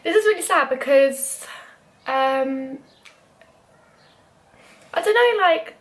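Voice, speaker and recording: Chatty young British woman, slightly high. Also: expressive, slow, slight background noise. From Britain. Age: young adult. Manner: chatty, lots of "like" fillers. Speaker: vlogger. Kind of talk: casual chat.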